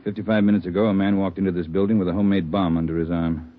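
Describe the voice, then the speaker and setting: An actor playing a grave, no-nonsense chief of detectives in a 1940s radio drama, speaking bluntly and urgently. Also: male voice, low-pitched, fairly even intonation, rapid-fire, close to an everyday voice. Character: grave, no-nonsense male chief of detectives briefing his men. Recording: tinny, phone-like old radio recording.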